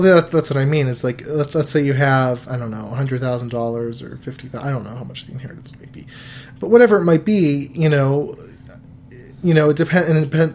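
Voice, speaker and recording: A man in his thirties hosting a podcast, giving financial advice in a relaxed, rambling way full of fillers and restarts. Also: mid-range voice, slight background noise. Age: 30s. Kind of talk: casual podcast chat.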